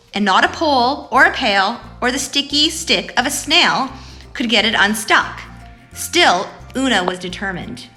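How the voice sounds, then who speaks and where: A woman hosting story time for young children, talking and reading aloud in an animated way, addressing them as friends. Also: sits high. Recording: roomy echo, faint music.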